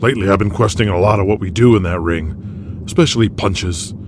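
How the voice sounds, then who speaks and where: Man as a blunt, low-voiced veteran wrestler. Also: brisk, noisy background, lightly acted. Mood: jaded. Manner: blunt, dismissive. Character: proud jaded veteran male pro wrestler, gruff. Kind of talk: audio drama dialogue.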